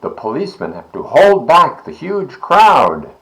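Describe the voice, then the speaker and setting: Slow, very expressive man reading a storybook. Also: a little high, vocal fry, rough recording. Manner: expressive, deliberate. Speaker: volunteer story reader, library board member. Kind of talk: children's storybook read-aloud.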